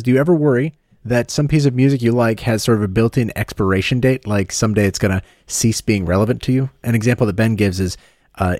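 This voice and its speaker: A man with a fairly low voice hosts a podcast, reading listener questions and putting them to his guests. He hesitates with uhs as he goes. The recording is dry and close. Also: a little mellow, no room sound. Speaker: podcast host. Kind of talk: casual podcast conversation.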